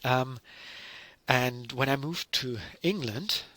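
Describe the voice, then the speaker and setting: German-accented male lecturer, bright and dry. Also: medium pitch, takes it slowly, no room sound. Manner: explanatory, many fillers and self-corrections. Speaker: university lecturer. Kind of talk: recorded university lecture.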